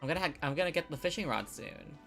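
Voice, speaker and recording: A woman's voice: sits low, animated, quick pace, vocal fry, fairly bright tone, soft background music. A woman giving casual live commentary as a game streamer, playful and reactive.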